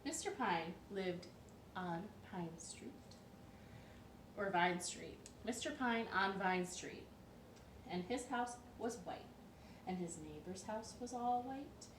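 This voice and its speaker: A woman hosting a children's storytime from her living room, telling the story in a playful, expressive and emphatic way. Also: mid-range voice, slow pace. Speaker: storytime host. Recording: roomy echo, distant mic, light noise.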